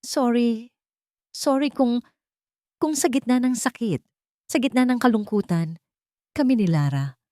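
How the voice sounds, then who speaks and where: A woman's voice: a little high, mellow, no room sound, clean studio sound, voice pushed up, close to an everyday voice. Woman acting anguished, pleading man in a drama. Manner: pleading, halting, repeating phrases. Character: anguished, guilt-ridden young man begging forgiveness.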